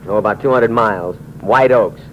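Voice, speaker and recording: A man's voice: lowish voice, normal speed, close to an everyday voice. A man plays a pale, easygoing young rich man who offers a stranger a ride. His manner is breezy and obliging. The old recording is dull and full of hiss. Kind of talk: 1950s radio drama acting.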